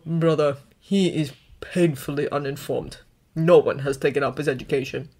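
A woman's voice: sits low, normal speed, a little mellow, pitched below the performer's own voice. A woman voicing a giant male dragon in an audio story, proud and emotional, with grand formal speech. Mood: dry, disapproving.

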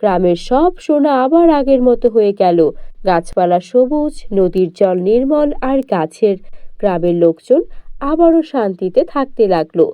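A woman's voice: mid-range voice, expressive, unhurried, smooth, no room sound, highs cut off. A woman narrator reading a scripted children's fairy tale for a cartoon.

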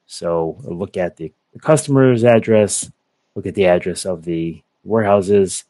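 Man, low voice, dry close sound, methodical screen-share demo, video call. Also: unhurried, warm tone, no echo. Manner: methodical, explains step by step. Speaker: software product presenter. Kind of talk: screen-share software walkthrough.

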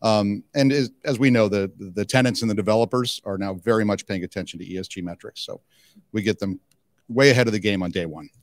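Low-voiced middle-aged man in an interview. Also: fast talker, dry recording. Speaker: company executive being interviewed. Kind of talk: conversational trade-show interview. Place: indoor interview booth at a conference. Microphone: handheld microphone.

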